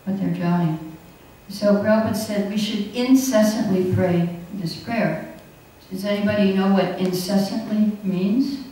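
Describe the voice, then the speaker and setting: A woman's voice: medium pitch, unhurried, dark tone, a little hiss or hum. An older woman giving a chatty, anecdotal scripture class at a podium mic, often laughing as she teaches. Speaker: class speaker. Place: hall, indoors. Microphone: podium mic on stand. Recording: echoey hall, recorded from a distance.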